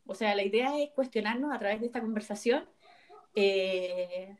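A woman in her forties hosts a relaxed podcast conversation. She talks in a chatty, easygoing way and laughs often. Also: mid-range voice, moderate pace. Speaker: podcast co-host. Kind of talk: casual podcast chat.

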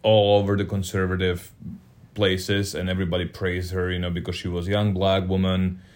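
A man with a low voice co-hosting a casual political talk show. He is informal and wry, and tends to repeat his phrases as he goes. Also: level tone, brisk, a little mellow. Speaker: podcast co-host. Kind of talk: casual political commentary chat.

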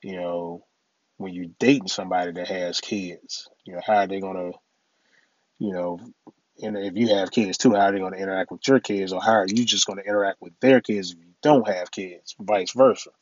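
A middle-aged man hosting his own podcast in a casual, rambling way, full of "you know" and "man", his voice a little raspy from allergies. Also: deep voice, steady tone, fairly quick, no echo, lo-fi sound. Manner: laid-back, rambling, many "you know" and "man" fillers. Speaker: podcast host. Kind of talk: casual solo podcast talk.

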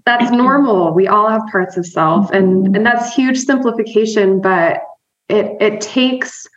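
A woman's voice: medium pitch, unhurried. A woman therapist and trainer giving an upbeat, conversational explanation as an interview guest, laughing often and checking in with "right?". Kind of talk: casual explanatory interview talk. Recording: roomy, slightly dull remote audio.

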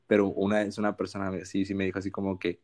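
A young man from Sinaloa with a Sinaloan Mexican accent, co-hosting a podcast and telling stories in relaxed, slangy chat. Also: sits low, fast. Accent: Sinaloan Mexican Spanish. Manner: relaxed storytelling, frequent slang fillers. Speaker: podcast co-host. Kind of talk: casual chat.

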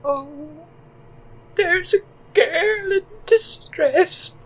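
Audio drama creature: oversized spider person, high-pitched falsetto, painfully slow, timid, tearful, sweet and unsure. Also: male voice, a bit bright, heavily acted, low-quality recording. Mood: weepy, guilty. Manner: halting, whimpering, drawn-out delivery. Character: huge, timid, weepy, sweetly self-doubting spider person.

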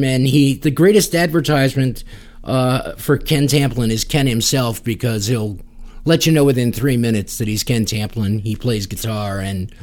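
A man's voice: medium pitch, a little mellow. A man hosting a podcast in casual, joking conversation, with a teasing, tongue-in-cheek manner. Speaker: podcast host.

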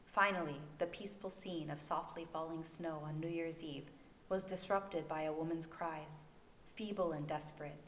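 A woman's voice: low-pitched, fairly even intonation, normal speed, fairly bright tone. A woman reading fiction aloud as a dramatic, scripted narration for an amateur home-recorded audio story. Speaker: fan fiction podfic narrator. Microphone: new home recording microphone. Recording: echoey room, faint background noise.

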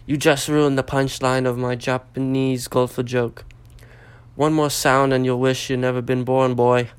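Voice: medium pitch, level tone, a little slow, smooth, no room sound, natural voice. A reader voicing an angry, bullying middle-aged uncle in a storybook, menacing and forced through gritted teeth. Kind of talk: storybook character voice.

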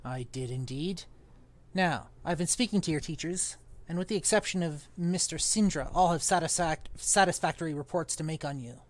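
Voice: medium pitch, normal speed, lightly acted. A reader voicing a pompous, grumpy older male magician who blusters disdainfully. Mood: lecturing.

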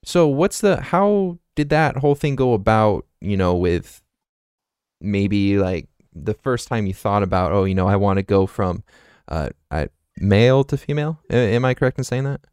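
Hesitant male podcast host, smooth low voice. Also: expressive, normal speed, darkish tone, no echo, clean studio sound. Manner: hesitant, apologetic, stammering restarts. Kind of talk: casual interview.

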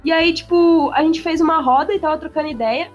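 Woman, São Paulo accent, high-pitched, slangy, profane, casual chat, faint background music. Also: muffled recording. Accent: São Paulo Portuguese. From São Paulo, Brazil. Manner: slangy and profane, rushes when excited.

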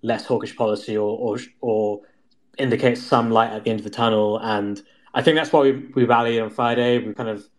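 A man's voice: fairly low pitch, steady tone, a little fast. A man commenting on markets in a casual, explanatory way, often hedging and feeling his way through points.

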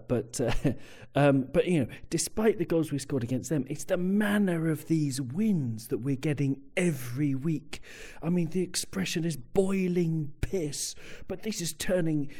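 A man's voice: a little high, mellow. A man co-hosting a casual football chat, rambling with wry humor. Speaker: podcast co-host.

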